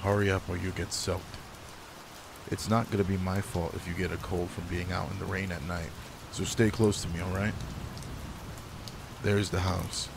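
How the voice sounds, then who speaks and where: A man playing a gruff alpha male werewolf, guarded but caring, in an intimate roleplay audio drama, speaking in a slow, teasing, commanding way. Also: low voice, level tone, noisy background. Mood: brisk, caring.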